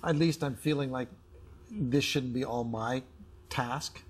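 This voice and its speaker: A man's voice: mid-range voice, measured pace, breathy edge. A middle-aged man talking candidly and earnestly as an interview guest, explaining his side. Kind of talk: candid personal conversation. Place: indoor studio living-room set.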